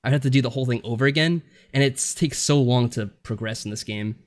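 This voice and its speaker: Male podcast co-host, average-pitched voice, informal and a little hesitant, recorded on a remote call. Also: fast talker. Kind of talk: casual podcast chat.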